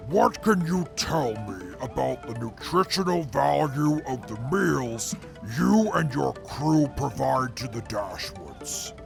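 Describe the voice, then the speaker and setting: A man acting in an audio drama as a pompous, smug, mocking sidekick with gravelly, formal, wordy speech. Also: mid-range voice, very animated, faint music behind, heavily acted. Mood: grandiose, condescending. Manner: pompous, formal, drawn-out, verbose.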